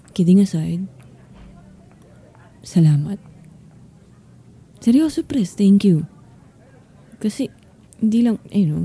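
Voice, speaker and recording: An actress plays a young Filipina varsity athlete in an intimate audio roleplay. Her voice is low and very mellow, with a breathy husk. She is teasing and confident, yet halting and softly vulnerable, and she takes her time. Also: heavily acted. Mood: sincere, tender. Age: young adult. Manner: teasing, breathy, halting, mixes Tagalog and English. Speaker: voice actor.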